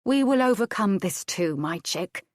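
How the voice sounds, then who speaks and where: A woman's voice: mid-range voice, expressive, a little slow, no room sound, clean studio sound, natural voice. A woman voicing a soothing old nursemaid. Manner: coaxing, comforting endearments. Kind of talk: audiobook character voice.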